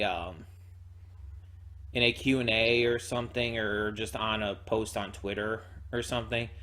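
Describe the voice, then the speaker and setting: Man, medium pitch, reaction host, casual commentary, halting, repeats words while thinking aloud. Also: a bit bright. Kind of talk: casual spoken commentary, reviewing a video.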